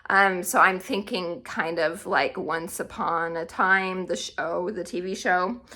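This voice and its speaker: A woman's voice: fairly low pitch, steady tone, slow pace, bright, forceful tone. A woman hosting a casual book vlog, talking informally and chattily, often correcting herself as she goes. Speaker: book content creator and host.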